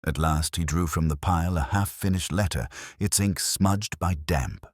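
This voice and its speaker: A man narrating a detective story in a measured, solemn, literary style. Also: low-pitched, no room sound, studio quality.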